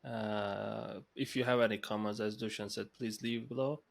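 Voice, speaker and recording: A man's voice: fairly low pitch, fairly even intonation, normal speed, creaky. A man co-hosting an interview podcast, speaking briefly and politely. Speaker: podcast co-host. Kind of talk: conversational interview.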